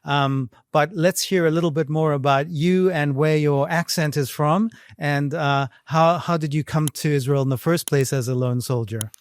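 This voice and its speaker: Brisk male interviewer, slightly high voice. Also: fairly even intonation, smooth. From South Africa. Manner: brisk, steering questions. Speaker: interviewer, news correspondent. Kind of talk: interview questions.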